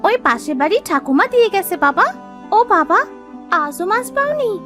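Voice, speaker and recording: A caring little village girl from a cartoon folktale acted by a woman. Her voice is extremely high and sing-song, sweet and soothing, with music behind it. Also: sing-song, normal speed, pushed and bright, heavily acted. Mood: concerned. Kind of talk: cartoon fairy-tale voice acting.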